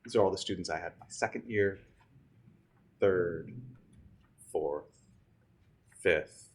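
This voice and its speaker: A man's voice: low voice, takes it slowly, husky. A man, a teacher, reading a rhyming picture book aloud to students in a theatrical, emphatic way.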